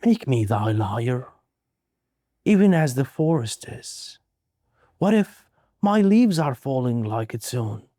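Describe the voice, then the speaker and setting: A man reciting poetry in a solemn, measured, dramatic way with deliberate pauses. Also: medium pitch, big pitch swings, warm tone, no echo, studio quality. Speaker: poetry reader.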